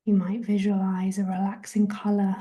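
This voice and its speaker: A woman leading a guided meditation in a soft, gentle, breathy half-whisper, giving soothing body scan instructions. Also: medium pitch, monotone, moderate pace. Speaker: guided relaxation and meditation host.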